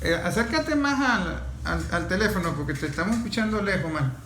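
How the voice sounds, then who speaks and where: A man's voice: sits high, expressive, a little fast, fairly bright tone. A man, hosting and moderating a conversational radio interview. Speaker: radio host. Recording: roomy echo, faint background noise.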